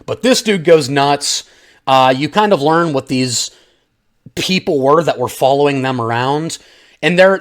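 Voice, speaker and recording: A man giving an off-the-cuff, opinionated video review, chatting informally and directly to his viewers. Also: mid-range voice. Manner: conversational, opinionated, informal slang, addresses audience as guys. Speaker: film reviewer, channel host. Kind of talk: casual unscripted video review, talking to viewers.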